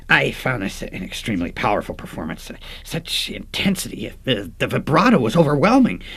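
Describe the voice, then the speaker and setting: A man playing an effusive, pretentious music critic with a husky voice and a verbose, hesitant manner in a comic radio sketch. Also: mid-range voice, huge pitch swings, moderate pace, a bit bright, no echo, exaggerated voice. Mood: overwhelmed.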